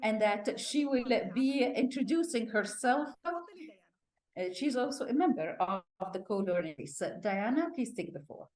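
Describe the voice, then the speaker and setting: A woman hosting an online meeting and introducing speakers, talking haltingly with drawn-out pauses. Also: a little high, animated, darkish tone, low-quality recording. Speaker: host, moderator. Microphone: video call.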